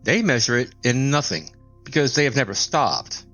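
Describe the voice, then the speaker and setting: A man with a mid-pitched voice explains scripture as a scripted, dramatic narration. His phrasing is solemn and deliberate, with emphatic pauses. Soft music plays behind him. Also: big pitch swings.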